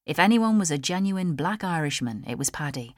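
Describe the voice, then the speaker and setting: A woman's voice: low-pitched, expressive, fairly quick, no room sound, studio quality. A woman with a British accent reading a novel as a scripted audiobook narration. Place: studio.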